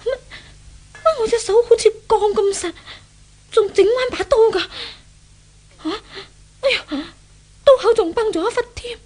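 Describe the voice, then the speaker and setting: A woman's voice: very high-pitched, a little mellow, heavily acted. An actress playing an anguished, suicidal young rich lady haunted by a ghost, in theatrical Hong Kong Cantonese 1970s radio drama style. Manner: theatrical soliloquy, exclaiming and lamenting. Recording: old 1970s radio drama with background music.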